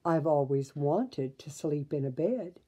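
A woman's voice: sits low, lively intonation, measured pace, mellow, slightly rough, exaggerated voice. An older woman voicing a small toy bear from a storybook, earnest and full of wistful wonder.

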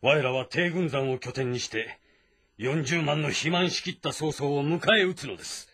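An actor playing a composed, respectful military strategist who gives measured, formal briefings in a historical game drama. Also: male voice, a little high, a bit bright, slightly rough. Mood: composed, assured.

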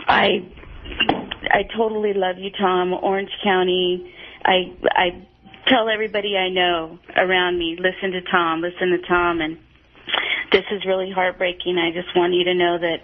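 A woman calling in to a radio show, gushing and flirtatious, with a giggly manner. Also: medium pitch. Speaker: caller. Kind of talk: phone-in call. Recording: tinny phone line on radio.

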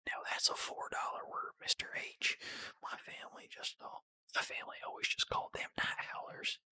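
A reader voicing a sheepish, folksy, paunchy male country baker from an animated film script in a breathy whisper. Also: moderate pace. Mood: sheepish, apologetic.

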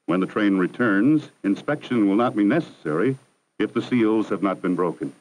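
A man narrating a 1960s educational film in a measured, formal, instructive manner. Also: lowish voice, animated, a little mellow, rough recording. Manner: measured, formal, didactic delivery. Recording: 1960s archival film soundtrack.

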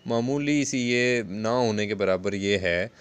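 A man's voice: lowish voice, takes it slowly, clean tone. A man giving a casual, explanatory gardening vlog narration in a conversational, repetitive way. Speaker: home gardening video host.

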